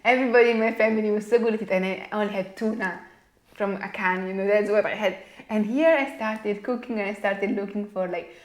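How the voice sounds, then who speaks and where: A woman's voice: mid-range voice, fairly even intonation, a little fast. A young woman from Italy with an Italian accent, chatting casually as a podcast guest. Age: young adult. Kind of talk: casual chat. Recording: roomy, echoey sound.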